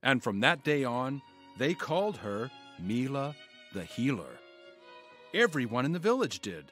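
A village storyteller, swooping intonation. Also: male voice, medium pitch, big pitch swings, unhurried, fairly bright tone, faint music behind. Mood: solemn storytelling. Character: village doctor's assistant narrating a tale, anxious when alarmed. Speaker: narrator and actor. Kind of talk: audio drama storytelling.

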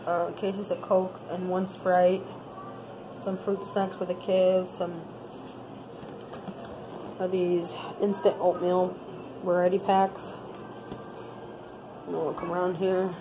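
A woman with a stuffed nose, speaking in a plain, unhurried way, recorded on a handheld phone in her home kitchen. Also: fairly low pitch, flat delivery, background noise. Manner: plain listing, unhurried, unscripted. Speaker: family channel host. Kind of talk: grocery haul show-and-tell. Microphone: handheld phone camera.

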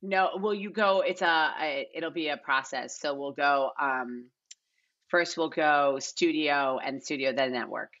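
A woman's voice: deep voice, a little slow, a bit bright. A middle-aged woman talking casually as a podcast guest, informal and repeating herself for emphasis on a video call. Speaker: casting director, podcast guest. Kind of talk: casual podcast interview talk. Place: large living room at home. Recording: slightly dull, lo-fi video-call sound.

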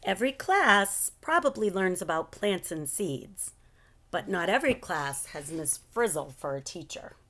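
A middle-aged woman reading a children's book aloud in an expressive, animated storytelling style at home. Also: fairly low pitch, measured pace. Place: living room at home.

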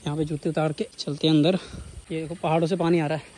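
A man's voice: fairly high pitch, flat delivery, quick pace, darkish tone. A man with a North Indian accent narrating a casual travel vlog outdoors, talking to viewers in a colloquial, exclamatory way. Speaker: vlogger. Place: outdoors, much of it on a moving motorbike. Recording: noisy outdoor action camera, vehicle and street noise.

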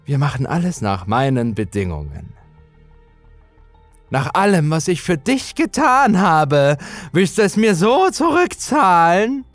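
A man voicing a menacing, gloating villain with uncanny power, taunting in drawn-out words for a horror story. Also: a little high, sing-song, no echo, faint music behind, voice pushed up. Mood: gloating threat.

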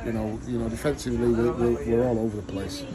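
A man in his thirties, a football manager giving a frank, measured post-match interview, often repeating words as he thinks. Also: mid-range voice, steady tone, over a noisy crowd, low-quality recording. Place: outdoors at a football ground. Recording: loud wind and background noise.

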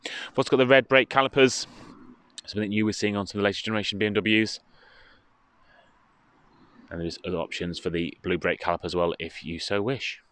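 A British man giving an informative, steady walkaround presentation as a car dealership presenter, speaking into a handheld phone outdoors. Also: fairly low pitch, a little fast, long pauses. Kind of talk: walkaround product presentation, semi-improvised commentary. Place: outdoors in a car park, partly inside a car.

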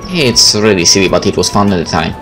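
Low-voiced man doing a game playthrough. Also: animated, fast. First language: Polish. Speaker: gaming video host. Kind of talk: game let's play, reading text aloud with casual commentary. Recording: faint game music behind.

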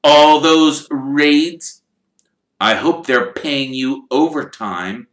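A man's voice: on the high side, a bit bright, voice pushed up. A man reading aloud and voicing a sneering, wealthy older wizard with a cold, drawling manner. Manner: slow, drawling, cold mockery. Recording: echoey room.

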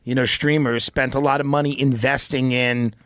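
A man's voice: mid-range voice, little pitch movement, normal speed, a bit bright, dry recording. An American man in his thirties chatting as a podcast guest in a casual, sardonic, joking way. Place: indoor podcast studio. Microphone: close dynamic microphone on arm, headphones.

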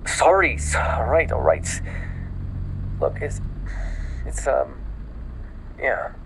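A man playing a panicked, exhausted newly turned vampire in an ASMR audio roleplay, stammering and often dropping into a breathy whisper. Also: mid-range voice, big pitch swings, background noise, heavily acted. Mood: anxious, weary. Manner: halting, stammering, breathy sighs and frequent swearing.

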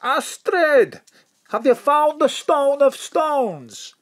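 A woman's voice: high-pitched, lively intonation, drawn-out words, exaggerated voice. A woman playing an exasperated, slightly befuddled official in an audio drama. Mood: weary, irritable.

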